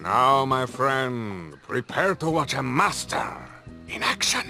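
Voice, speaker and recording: An actor playing a vain, haughty champion diver in a video game, pompous, theatrical and condescending. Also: male voice, mid-range voice, very animated, slow pace, creaky, pushed and bright, soft background music, full character voice. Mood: smug, grandiose. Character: vain, haughty champion plank diver, grown man, easily enraged. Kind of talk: cartoonish video game voice acting.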